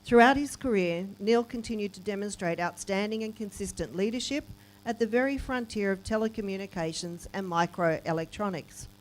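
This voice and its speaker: A woman's voice: medium pitch, moderate pace, low-quality recording. A woman, a councillor formally reading out a guest's profile at a ceremony. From Australia.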